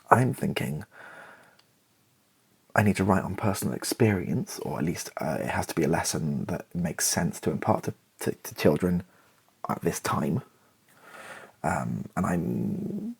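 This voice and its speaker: A British man with a low, very breathy and husky voice that often drops into creak. He rambles casually to the listener, hesitating and thinking aloud as he goes. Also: a little mellow. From Britain. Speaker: YouTuber and theatre writer. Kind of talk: rambling, casual talk to the listener in an ASMR video.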